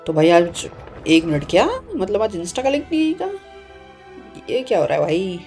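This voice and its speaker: Casting: Indian-accented male game streamer, rapid-fire casual chat, mellow tone, faint music underneath. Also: high voice, sing-song. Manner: informal, mixes Hindi and English.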